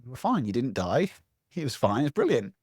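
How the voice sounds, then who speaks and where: A man, a public speaking coach giving a lively interview answer from home, acting out voices as he talks. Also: medium pitch, a little fast, slightly rough, dry recording, clean studio sound. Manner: animated, acts out other people's voices.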